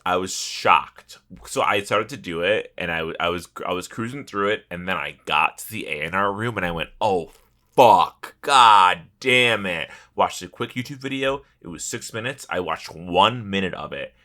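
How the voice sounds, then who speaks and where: A man with a bright, forceful voice that swings widely in pitch. He talks like a podcast co-host in casual gaming chat, telling stories bluntly and cursing along the way. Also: moderate pace. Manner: profane, blunt, animated storytelling.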